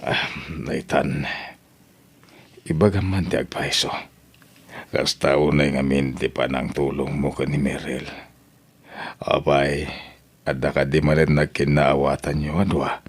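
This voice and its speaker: A very deep, low-pitched old father acted by a man in a family radio-style drama. He speaks with an Ilocano accent and comes across as devoted and sentimental. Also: little pitch movement, unhurried, long pauses, slightly rough, heavily acted. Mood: earnest, tender. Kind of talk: Ilocano audio drama acting.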